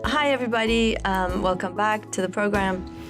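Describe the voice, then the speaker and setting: A woman's voice: medium pitch, normal speed, over music. A woman hosting a podcast interview, friendly and a bit hesitant with frequent "um", teasing her guest. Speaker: podcast host.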